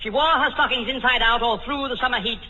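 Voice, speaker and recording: A man's voice: very high voice, fast talker, sharp tone, exaggerated voice. A man playing a muddled, literary-minded quiz expert on a 1940s radio comedy show. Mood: muddled, earnest. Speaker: comic quiz panelist. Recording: noisy, dull 1940s radio broadcast.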